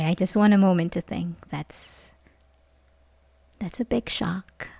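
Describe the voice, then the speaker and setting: A woman playing an obsessive, manipulative young babysitter in love in an ASMR roleplay, halting and pleading with soft menace. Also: medium pitch, creaky. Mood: hurt, subdued. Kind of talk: ASMR roleplay audio drama, addressing the listener.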